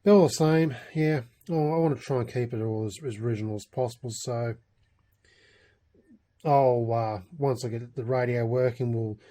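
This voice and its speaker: A man's voice: mid-range voice, normal speed, mellow. A man with an Australian accent giving a casual, unscripted show-and-tell, hesitant and meandering as he goes. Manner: hesitant, meandering delivery with trailing thoughts. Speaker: hobbyist vlogger.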